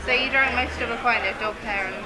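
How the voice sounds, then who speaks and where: A woman's voice: fairly high pitch, quick pace, poor audio. A young woman working as a bartender, casually questioning a customer across the bar. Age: young adult. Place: behind bar in busy pub. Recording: loud pub crowd, hidden camera.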